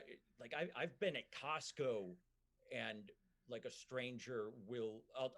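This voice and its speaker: Man, Minnesotan accent, podcast guest, halting, filler-heavy, middle pitch. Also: a bit bright. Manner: halting, many restarts and fillers. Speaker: comedian guest. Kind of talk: casual podcast chat.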